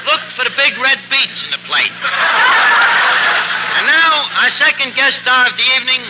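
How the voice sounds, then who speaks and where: High-pitched, pompous bumbling tavern manager. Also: male voice, lively intonation, moderate pace. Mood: mock-grand announcing. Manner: pompous malapropisms, fumbling delivery. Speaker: host. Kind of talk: 1940s radio comedy acting. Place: radio show broadcast. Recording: noisy 1940s radio broadcast recording.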